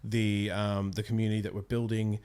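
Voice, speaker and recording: A man's voice: fairly low pitch, little pitch movement, brisk, a little mellow, smooth. A young man speaking casually as a guest in an interview. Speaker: guest, amateur voice actor and streamer. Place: small home streaming room, indoors.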